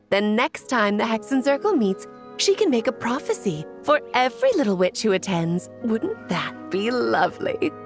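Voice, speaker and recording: A woman voicing a breezy, playful witch auntie in a video game, coaxing sweetly and theatrically as if to a child. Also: fairly high pitch. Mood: doting, breezy.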